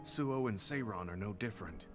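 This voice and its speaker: A reserved older soldier , acted by a man, speaks in a low, measured voice. He is earnest and formal, like a dramatic game cutscene, with prominent music underneath. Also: expressive, natural voice. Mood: resolved. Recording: loud music behind the voice. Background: background music.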